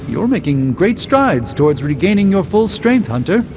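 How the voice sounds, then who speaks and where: A pompous middle-aged game sorcerer, real animated and wry, thin phone-like sound w music under. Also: male voice, high-pitched, moderate pace. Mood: courteous, appraising. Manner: pompous, formal, dryly wry, theatrical. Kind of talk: scripted video game dialogue.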